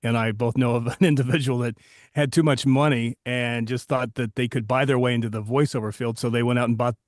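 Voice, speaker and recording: A man's voice: mid-range voice, steady tone, a little fast, dry recording, studio quality. A man co-hosting a podcast as a voiceover coach, chatting casually and joking with candid, occasional swearing.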